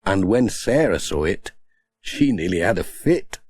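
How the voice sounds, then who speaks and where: A man's voice: low-pitched, sing-song, unhurried, creaky, a little mellow. A man reading a scripted children's story as an audiobook narrator.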